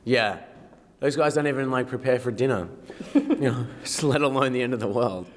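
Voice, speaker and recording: A man's voice: mid-range voice, normal speed, big-room sound. A man with an Australian accent, an actor giving a casual interview, rambling playfully and poking fun at himself. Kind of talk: casual joking interview chat.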